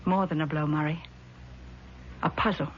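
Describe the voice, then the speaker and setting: An actress playing a composed, doubting sister in a 1970s radio drama, measured, patronizing and patiently insistent. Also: female voice, deep voice, steady tone, natural voice. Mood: confiding, concerned. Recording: muffled vintage radio broadcast, faint noise.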